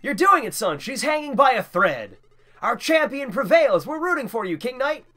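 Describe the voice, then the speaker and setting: A man's voice: extremely high pitch, big pitch swings, moderate pace, bright, forceful tone, pitched above the performer's own voice, heavily acted. A man voicing a proud male king in a video game, declaiming theatrically. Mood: rousing.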